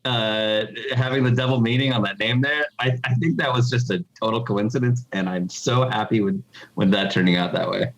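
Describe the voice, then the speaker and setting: A man, a game developer guest, chatting casually but haltingly and coyly, choosing his words with care. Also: on the low side, fairly even intonation, lo-fi sound. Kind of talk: casual interview chat.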